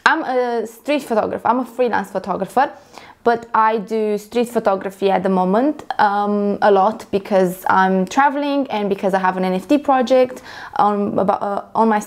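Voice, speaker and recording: A young woman from Romania talks casually to the camera about her work as a photographer. She rambles, restarts her sentences and fills pauses with ums, in a voice of ordinary pitch. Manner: rambling, self-correcting, many fillers. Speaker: photographer vlogger.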